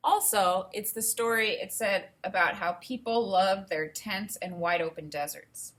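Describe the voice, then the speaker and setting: A woman teacher reading a storybook aloud and pausing to explain it simply to young learners. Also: mid-range voice, a bit bright. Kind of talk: read-aloud lesson with think-aloud commentary.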